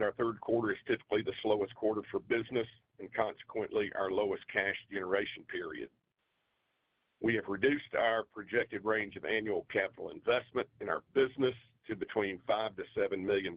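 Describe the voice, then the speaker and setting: Low-voiced businesslike man, dull dry audio. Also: husky, no echo. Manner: formal, businesslike delivery. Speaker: company finance executive. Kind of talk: scripted financial report on an earnings call.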